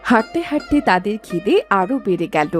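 A woman's voice: medium pitch, very animated, a little fast, music in the background. A woman giving scripted narration for a cartoon folk story.

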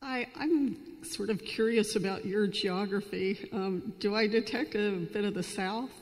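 A woman's voice: medium pitch, unhurried. A woman from Missouri in the audience, asking an author a question at a stand mic, hesitant with repeated starts. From Missouri, USA. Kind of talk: question from the floor. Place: large hall, standing at an audience microphone. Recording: long hall reverb.

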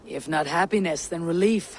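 Woman playing a low, gruff Viking warrior woman. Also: moderate pace, slight background noise, lightly acted. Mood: brisk, searching. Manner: blunt, terse. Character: hardened, direct female Viking warrior. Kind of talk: video game dialogue acting. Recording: clear outdoor ambience behind voice. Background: outdoor game ambience.

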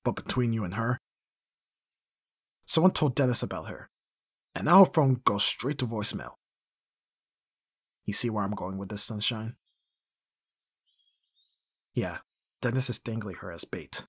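A man's voice: on the low side, animated, unhurried, pauses often, dry recording. A man playing an abrasive, brutally honest bodyguard in an intimate audio roleplay, speaking bluntly with heavy sighs. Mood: grim, blunt. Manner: gruff, sighing, swearing, blunt. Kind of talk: intimate ASMR roleplay acting.

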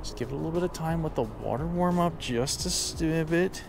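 A man's voice: on the high side, brisk, gravelly, background noise. An American man in his thirties giving casual, upbeat running commentary as a fishing vlogger, recorded outdoors. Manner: chatty, informal, upbeat. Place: outdoors by a small lake.

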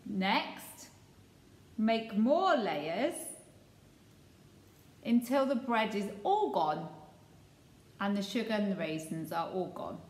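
A woman, teaching young children a simple recipe in a slow, sing-song way from her home kitchen. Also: medium pitch, expressive, darkish tone, echoey room. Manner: slow, sing-song, gestures as she explains. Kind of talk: cooking lesson for young children. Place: small home kitchen, indoors. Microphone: webcam or phone at close range.

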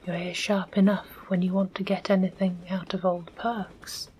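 A woman voicing a touchy working-class male railway porter in a soft whisper, sulky and full of dropped-letter dialect. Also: fairly low pitch, flat delivery, moderate pace, a little hiss or hum, natural voice. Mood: aggrieved.

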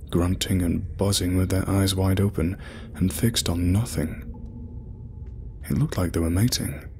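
A man playing a shaken scientist who records a tense log deep inside a cave. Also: slight background noise. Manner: low hushed whispering, tense. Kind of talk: horror audio drama narration.

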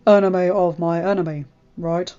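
A woman's voice: on the low side, steady tone, normal speed, mellow, dull audio, natural voice. A woman voicing an injured, protective young gang member, a stoic man talking through pain in a narrated story. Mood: quiet, tender. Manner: pained, speaking through clenched teeth.

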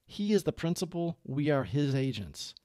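A man narrating a book aloud as a reader, with measured, clearly phrased delivery. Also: fairly high pitch, very animated, dark tone, dry recording, studio quality. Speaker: audiobook reader.

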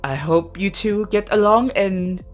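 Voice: mid-range voice, measured pace, soft background music, lightly acted. A performer voicing a small, cheerful male animal school principal, politely and formally making an announcement in an anime fan roleplay.

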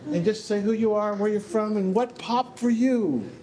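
A woman hosting an informal event in a hall, briskly directing and calling people up. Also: mid-range voice, a crowd in the background. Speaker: event host. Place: indoor rehearsal hall. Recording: clear room noise with clicks.

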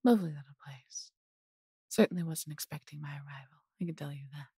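A woman voicing a large, possessive but doting female dragon in an intimate fantasy audio roleplay, coaxing and teasing in a breathy, husky voice. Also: low-pitched, fairly quick, mellow, no room sound, studio quality, full character voice. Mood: playful, proud.

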